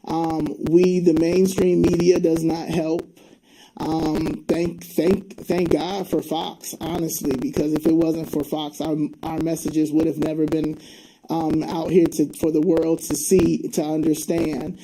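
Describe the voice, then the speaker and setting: A woman's voice: low voice, level tone, a little slow, warm tone, slight background noise, rough recording. A woman from Albany, New York, speaking earnestly and emphatically as an interview guest. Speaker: interview guest, community advocate.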